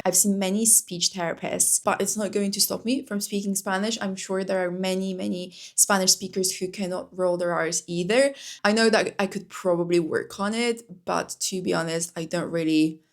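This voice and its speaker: A young woman whose first language is Polish, vlogging casually to camera from home, chatty, with many fillers and restarts. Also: fairly low pitch. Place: indoors at home.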